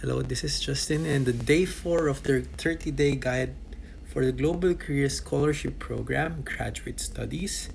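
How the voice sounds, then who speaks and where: A man's voice: mid-range voice, normal speed, gravelly, a little hiss or hum. A young man from the Philippines walking viewers through a scholarship document checklist item by item, in a casual explanatory way. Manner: talks through a checklist item by item, with restarts and fillers. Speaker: vlogger giving scholarship application advice.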